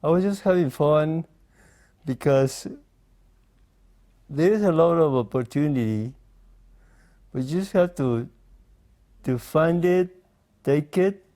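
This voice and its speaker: A man in his forties from Mexico, speaking English as an interview guest, in a slow, halting way with many pauses and fillers. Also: a little high, mellow. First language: Spanish. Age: 40s. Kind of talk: casual interview conversation.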